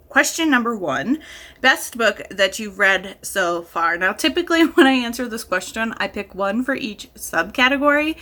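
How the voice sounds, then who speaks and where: Higher pitched lady vlogger, bright crisp tone, gushy and super enthusiastic, just chatting. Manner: gushing, enthusiastic, emphatic. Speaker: book reviewer vlogger. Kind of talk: casual chat to camera.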